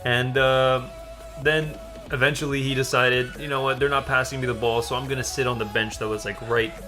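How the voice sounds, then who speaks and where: A man's voice: medium pitch, flat delivery, a little fast. A young man casually telling a rambling, amused story in a chatty style with some swearing. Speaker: gaming channel co-host. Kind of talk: casual storytelling chat over gameplay. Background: background music.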